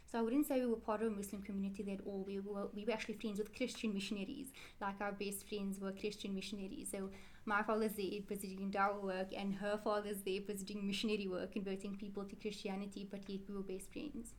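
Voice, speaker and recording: A woman's voice: medium pitch, flat delivery, fast talker, few pauses, a little hiss or hum. A woman, answering interview questions in a chatty, rambling way and correcting herself often. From South Africa. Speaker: interview guest, Quran teacher.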